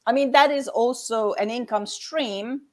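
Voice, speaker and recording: A woman's voice: on the high side, unhurried, a little mellow. A woman in her fifties from Hungary with a Hungarian accent, a guest explaining things step by step in a casual chat.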